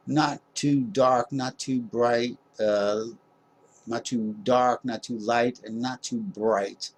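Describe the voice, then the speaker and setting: A man's voice: medium pitch, darkish tone, a little hiss or hum. Rambling man talking through a tutorial. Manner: rambling, many "uh" fillers, self-deprecating jokes. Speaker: tutorial host. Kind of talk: casual screen-recorded software tutorial.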